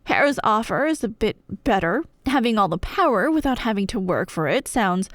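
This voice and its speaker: A woman telling a scripted myth as ASMR roleplay, conversational and wry, with dramatic pauses and emphasis. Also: a little high, very animated, fairly bright tone, no echo, studio quality.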